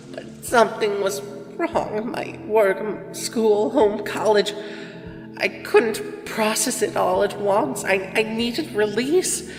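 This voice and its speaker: An elderly female killer giving a bitter, obsessive confession in an audio drama. She speaks very slowly and haltingly, with long reverb around her and faint music underneath. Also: mid-range voice.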